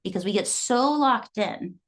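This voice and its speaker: A woman's voice: mid-range voice, expressive, unhurried. A woman in her thirties from Guatemala, a fitness coach giving an earnest motivational talk that is full of client stories. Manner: earnest, storytelling. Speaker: fitness coach and gym owner.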